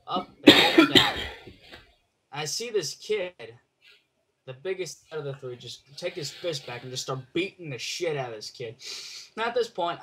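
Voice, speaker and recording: A man telling a casual story over an online voice call, blunt and sweary, in halting phrases. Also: mid-range voice, sharp tone. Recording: noisy online call with clicks.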